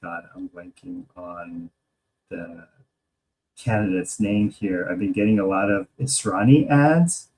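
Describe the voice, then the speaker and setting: An American man co-hosting a podcast, talking casually about politics and thinking aloud in a hesitant, halting way. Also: deep voice, a little mellow, husky, poor audio. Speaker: podcast co-host.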